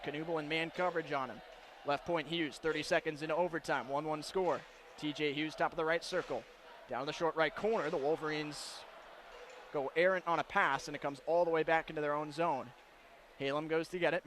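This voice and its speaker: Male hockey play-by-play announcer, high register, crisp detailed calls, live broadcast with background arena noise. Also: a bit bright. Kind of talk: live ice hockey commentary.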